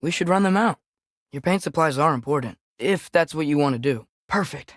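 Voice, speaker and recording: A man's voice: a little high, animated, brisk, fairly bright tone, no room sound. Earnest teenage boy adventurer, dry studio sound. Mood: earnest, curious. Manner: hesitant, earnest, boyish. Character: earnest, curious, impulsive teenage boy adventurer in Norse myth. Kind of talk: naturalistic video game voice acting.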